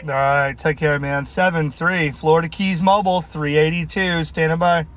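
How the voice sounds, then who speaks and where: High-pitched man on CB radio, noisy vehicle. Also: fairly even intonation, normal speed, a bit bright. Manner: friendly, signs off with stock radio phrases. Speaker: CB radio operator, video host. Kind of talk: casual on-air CB radio chat with call signs and radio lingo. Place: inside a vehicle, mobile radio. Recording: clear background noise, vehicle and radio clicks. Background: radio noise and vehicle sound.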